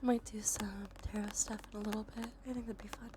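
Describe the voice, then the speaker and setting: A woman softly whispering casual ASMR chat to livestream viewers, relaxed and unhurried, with a slightly stuffy nose. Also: mid-range voice, noisy background. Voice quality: soft, breathy whisper. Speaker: livestream host. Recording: background music under close whispering. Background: music.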